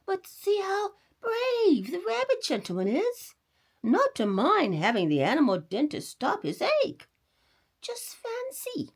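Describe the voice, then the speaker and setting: Woman as an animated, encouraging mother. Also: very high-pitched, measured pace, pitched above the performer's own voice, exaggerated voice. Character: calm, encouraging mother of a young boy.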